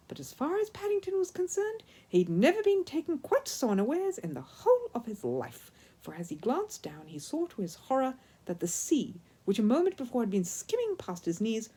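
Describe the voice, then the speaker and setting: A woman reading a children's story aloud as an expressive narrator. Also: mid-range voice, slightly rough. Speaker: storyteller, audiobook reader.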